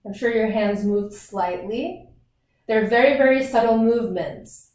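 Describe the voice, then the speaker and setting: Calm woman yoga teacher, very echoey room. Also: fairly high pitch. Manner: calm, unhurried, soothing breath cues. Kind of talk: guided gentle yoga instruction. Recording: very echoey room, distant mic.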